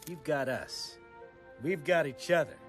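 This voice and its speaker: A man voicing a bold, bossy, impulsive little penguin leader in a cartoon, brash and excitable. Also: on the high side, a little slow, soft background music, close to an everyday voice. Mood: reassuring. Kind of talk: animated film comedy acting.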